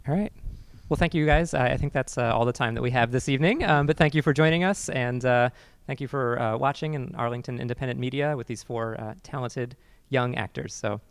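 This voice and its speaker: Creaky but clear male interview host. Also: mid-range voice, fairly quick, no room sound. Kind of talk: informal show sign-off.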